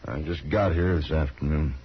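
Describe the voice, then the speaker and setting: An American actor playing a cocky, menacing young gunslinger in a 1950s radio Western, cool and insolent. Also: male voice, extremely low pitch, moderate pace. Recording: dull, hissy 1950s radio recording.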